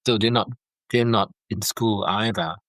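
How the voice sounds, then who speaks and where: Earnest man from Singapore, lowish voice. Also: moderate pace, a bit bright, no room sound. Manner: earnest, repeats words while thinking. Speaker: foster dad, guest. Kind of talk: heartfelt interview over a call. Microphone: video call.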